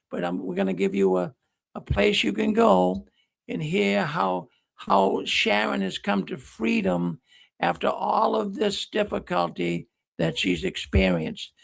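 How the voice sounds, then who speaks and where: A man's voice: sits high, gravelly, fairly bright tone. A man hosting a talk program, rambling and often repeating words as he restarts sentences. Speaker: host. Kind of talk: casual talk show hosting.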